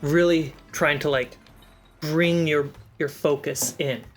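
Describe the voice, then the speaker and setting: A game master calmly describing scenes and actions in a tabletop game. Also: medium pitch, soft background music. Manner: unhurried, descriptive narration.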